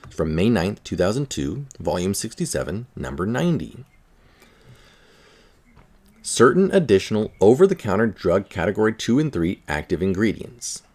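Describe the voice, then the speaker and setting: A man's voice: lowish voice, sing-song, moderate pace, a little mellow. A man reading a science article aloud, adding informal asides as he goes. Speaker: article reader.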